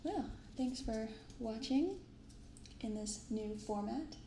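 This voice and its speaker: A soft-spoken woman hosting an ASMR vlog in a hushed, gentle, unhurried manner on a binaural microphone. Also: a little high, expressive. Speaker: host of a card-opening ASMR channel. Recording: roomy sound with faint background noise. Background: light rain, faint ambient noise.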